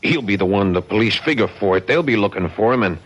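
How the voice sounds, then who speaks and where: A man's voice: lowish voice, expressive, fast talker, breathy edge. An actor playing a crooked, murderous bookie with slangy American tough-guy talk in a radio drama. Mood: uneasy, menacing. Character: crooked, nervous bookie plotting a murderous heist. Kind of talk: 1970s radio drama acting.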